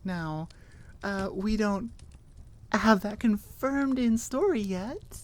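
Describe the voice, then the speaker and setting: A woman's voice: medium pitch, animated, slow pace. A woman chatting casually and informally as an audio creator, rambling with enthusiasm. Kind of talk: casual rambling outro chat.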